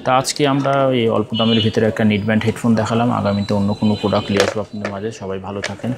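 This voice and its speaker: Fast-talking Bangladeshi man with a low voice. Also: little pitch movement, very noisy. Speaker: shop seller presenting products. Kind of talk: unscripted product demonstration.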